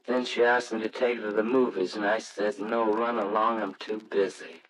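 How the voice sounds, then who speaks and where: An actor playing a grieving, tender husband and father in 1940s radio drama style, speaking haltingly and earnestly. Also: on the low side, flat delivery, crowd noise, close to an everyday voice, poor audio. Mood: defeated, remorseful.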